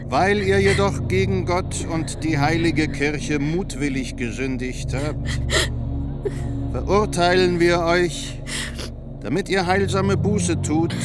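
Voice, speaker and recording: An actor playing a stern church judge in a radio drama, solemnly pronouncing a formal sentence with ceremonial deliberation. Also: male voice, on the high side. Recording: voice under loud music. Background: loud music.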